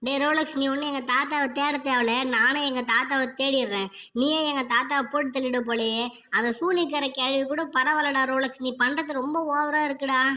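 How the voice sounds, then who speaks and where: A woman voicing an anxious, exasperated young boy in a cartoon, scolding his friend in rapid complaints. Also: high-pitched, fairly even intonation, sharp tone. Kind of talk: Tamil cartoon voice acting.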